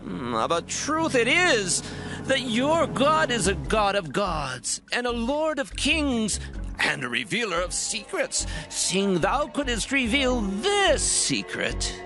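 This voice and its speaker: Casting: proud ancient king, very high pitch, sweeping delivery, solemn scripture drama with music underneath. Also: big pitch swings, takes it slowly, exaggerated voice. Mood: awed. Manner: declamatory, archaic solemn phrasing.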